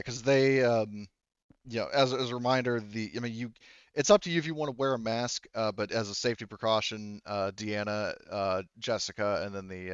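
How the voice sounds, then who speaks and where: A man with a smooth voice narrates a tabletop game as game master. He talks casually and haltingly, feeling out each sentence as he goes, on a close, slightly dull-sounding mic. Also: on the low side, no echo. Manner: halting, conversational, hedging.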